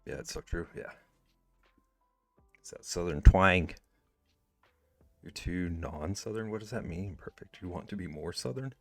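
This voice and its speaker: A man's voice: deep voice, measured pace, vocal fry, long pauses, faint music behind. A man streaming live while he draws, chatting casually with viewers and rambling with lots of "like" fillers. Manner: rambling, with many "like" fillers and restarts. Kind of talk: casual chat, reading and answering chat.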